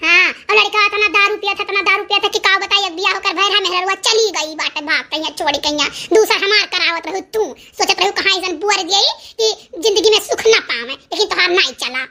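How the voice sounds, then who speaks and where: A performer voicing a sharp-tongued village woman in an Awadhi-accented cartoon comedy, emphatic and given to repeating phrases. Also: very high-pitched, fast talker, gravelly, few pauses, exaggerated voice. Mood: taunting. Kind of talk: cartoon comedy dialogue in rural dialect.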